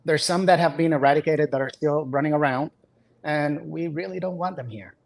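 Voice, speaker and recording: Puerto Rico-born doctor with dry humor. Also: male voice, fairly high pitch, a little fast, vocal fry. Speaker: physician, guest. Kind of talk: interview answers.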